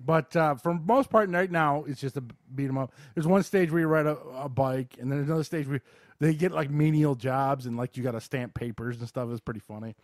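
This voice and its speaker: Higher-pitched man chatting on a dry-sounding podcast. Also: a little fast, dry recording. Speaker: podcast host reviewing video games. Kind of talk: casual chat, game review.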